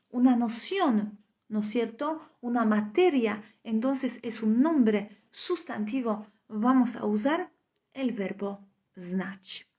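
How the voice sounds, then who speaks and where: Slow-speaking woman teaching a live lesson. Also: high voice, darkish tone. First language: Spanish. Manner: slow, explanatory, frequent tag questions. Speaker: Polish language teacher. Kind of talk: live online grammar lesson.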